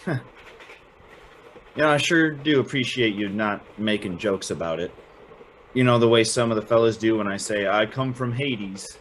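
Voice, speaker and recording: A man's voice: fairly low pitch, normal speed, fairly bright tone, a little hiss or hum, natural voice. A man playing an eager, naive young prep school student with a folksy, chatty manner in an old-time radio drama. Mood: curious, friendly. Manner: folksy, chatty, hesitant narrating and exclaiming.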